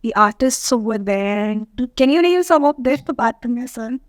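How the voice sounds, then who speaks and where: A woman hosting an interview, asking her questions in a halting way with restarts. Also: fairly high pitch, animated, no echo, clean studio sound. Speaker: host.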